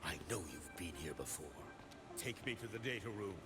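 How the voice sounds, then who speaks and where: A man's voice: on the low side, animated, a little fast, lightly acted. A man playing a desperate, bullying kidnapper on the run in a video game. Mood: menacing. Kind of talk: scripted game voice acting. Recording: loud music over game capture.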